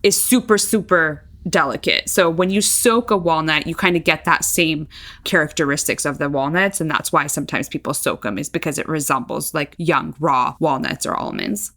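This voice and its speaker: A woman's voice: low voice, normal speed, a bit bright. A woman co-hosting a food podcast, chatting casually and explaining recipes with many fillers. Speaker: podcast co-host. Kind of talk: casual chat.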